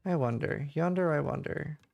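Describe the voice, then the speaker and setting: Gravelly man streaming a game. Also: a little high, normal speed, no room sound, muffled recording. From Norway. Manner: relaxed, thinks aloud, answers chat questions. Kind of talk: casual livestream chat while playing.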